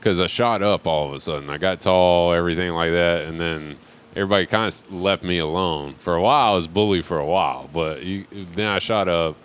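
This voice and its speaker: A man's voice: sits low, a little fast. A young man in casual podcast chat, laid-back and profane, rambling with restarts. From Pensacola area, Florida.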